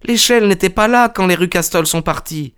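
Guy doing a worried young governess lady, raised pitch, alarmed, storybook reading. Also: unhurried, no echo, clean studio sound. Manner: alarmed, protesting. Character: anxious young governess, polite and easily alarmed.

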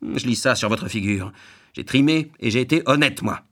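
A man acts out a gruff, prosperous working man from a storybook, full of himself and contemptuous. He bellows and scolds, stressing every point as if handing down a moral lesson. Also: medium pitch, lively intonation, moderate pace, fairly bright tone. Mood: self-righteous scolding. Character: gruff, self-righteous, gluttonous well-off working man.

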